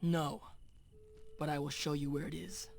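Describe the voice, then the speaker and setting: An actor playing an Apache chief's son in a video game, solemn, shrewd and formal in his measured speech. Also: on the high side, steady tone, vocal fry, slight background noise. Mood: grave. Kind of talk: dramatic video game cutscene acting.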